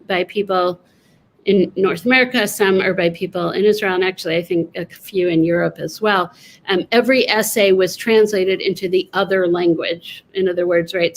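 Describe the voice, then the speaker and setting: A woman presenting on an online panel, talking quickly with frequent ums and self-corrections. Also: mid-range voice, fairly even intonation. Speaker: panelist presenting prayerbook editing.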